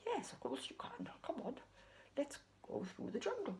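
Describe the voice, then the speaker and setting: Woman acting out big puppet elephant, friendly, instructive, raised pitch, animated. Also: moderate pace, vocal fry, slight background noise, heavily acted.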